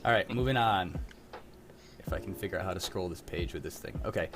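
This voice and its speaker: A man's voice: low voice, fairly quick. A man hosting a casual livestream, chatting informally with friends and running a trivia game in an easygoing way. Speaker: host and quizmaster.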